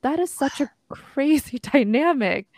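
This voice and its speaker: A woman's voice: high voice, expressive, measured pace, darkish tone, no echo, studio quality. A young woman born in Korea, co-hosting a podcast, talking in a reflective, thinking-aloud way with many fillers. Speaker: podcast co-host. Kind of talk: casual chat.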